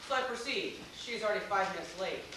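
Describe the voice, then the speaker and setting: A wry, unflappable gentleman played by a man teases with glib, theatrical formality. He talks quickly in a high voice on a theater stage, far from the mic in a very echoey space. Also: lightly acted. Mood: wry, teasing. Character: unflappable, teasing, worldly know-it-all gentleman, drily amused. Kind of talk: amateur stage acting in a comic one-act play. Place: small theater stage, recorded from the audience. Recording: echoey theater, distant mic, noticeable noise.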